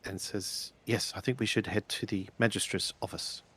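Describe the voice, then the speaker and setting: A man's voice: fairly low pitch, fairly even intonation, normal speed, fairly bright tone, husky. A man playing a tabletop roleplaying game, improvising polite in-character dialogue in a hesitant, stammering way.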